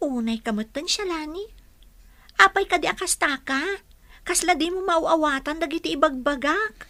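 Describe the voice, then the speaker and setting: A woman's voice: high voice, normal speed, pushed and bright, no echo. A woman playing a concerned, chatty young friend in a radio drama, with an Ilocano accent.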